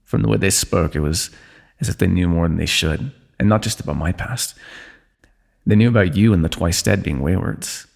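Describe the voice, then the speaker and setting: A dry, teasing fantasy king, played by a man in an ASMR roleplay, wounded but unbothered. His voice sits low, with a gravelly, husky grain. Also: normal speed. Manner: dry, teasing, calm understatement. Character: wry wounded male ruler, teasing old comrade.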